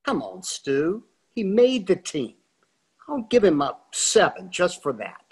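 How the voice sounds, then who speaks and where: An older actor playing a divorced, teasing friend who makes wisecracks, on a video call. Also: male voice, high-pitched, very animated, unhurried, breathy edge, full character voice.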